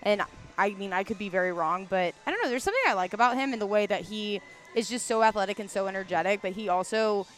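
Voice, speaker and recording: A woman's voice: mid-range voice, quick pace, soft background music. A young woman in casual chat as a sports podcast panelist, informal and a little tentative. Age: young adult.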